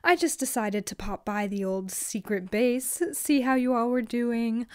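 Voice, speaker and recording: A woman's voice: a little high, unhurried, a little mellow, clean tone, natural voice. An actress playing a smug villain's henchwoman in a fantasy audio drama, taunting with fake politeness. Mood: mocking, sweet. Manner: sing-song faux politeness, taunting. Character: mockingly sweet, smug female villain's henchwoman.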